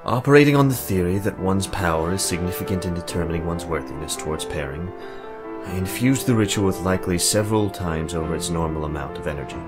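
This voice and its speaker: A man playing an earnest, awkward young mage in a visual novel, explaining things in a formal, measured way. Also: low-pitched, expressive. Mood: grave, explanatory. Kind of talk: visual novel character acting. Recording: music bed behind the voice.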